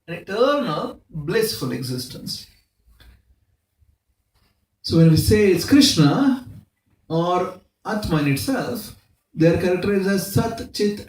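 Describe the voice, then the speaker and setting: A man's voice: high voice, darkish tone. Man preaching in an echoey hall. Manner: asks the audience rhetorical questions and quick checks like "right?"; uses props and everyday examples. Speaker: speaker at a temple Sunday feast. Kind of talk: spiritual talk to a live audience. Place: temple hall. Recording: echoey room, mic at a distance.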